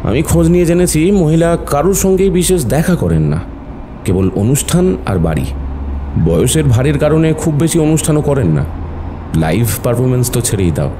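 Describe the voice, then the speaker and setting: A man acting a calm, patient, shrewd doctor turned detective in an audio drama, measured and mentoring. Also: mid-range voice, background noise, close to an everyday voice.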